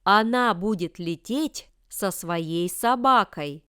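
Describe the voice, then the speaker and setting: A woman reading example sentences for language learners with careful, deliberate enunciation. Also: medium pitch, animated, a bit bright, smooth, no room sound, studio quality. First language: Russian. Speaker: language lesson reader.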